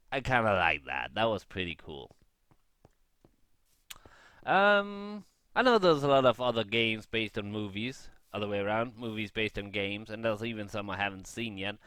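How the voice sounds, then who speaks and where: A man giving a casual, rambling review as a gaming vlogger, unscripted and halting, thinking aloud as he goes. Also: lowish voice, fairly bright tone, clear, resonant tone, no echo.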